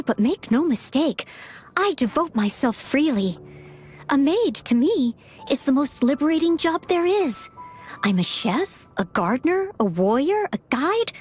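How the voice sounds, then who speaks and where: Game casting: devoted teenage maid, polite and eager, high lilting voice with lively swings, music underneath. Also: female voice. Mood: earnest, devoted. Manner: polite, earnest, eager to please. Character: earnest, devoted young maid and aspiring knight, teenage girl. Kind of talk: video game character voice lines. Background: background music.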